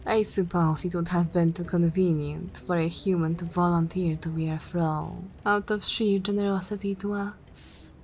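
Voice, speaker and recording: A woman's voice: on the low side, slight background noise, rough recording. A woman voicing a composed, teasing and menacing vampire mistress in an ASMR roleplay, with a formal, condescending drawl. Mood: amused, musing.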